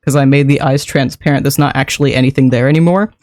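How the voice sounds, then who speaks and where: A game streamer chatting casually with a live audience while a little sick, voice deeper than usual, rambling and laughing often as they greet and thank viewers. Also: steady tone, fast, a little mellow.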